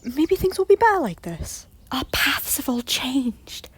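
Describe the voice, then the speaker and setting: A woman voicing a guilt-ridden former queen who pleads in breathy, often whispered lines. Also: high voice, very animated, measured pace, no echo, heavily acted. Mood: pleading. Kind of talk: fan audio drama acting.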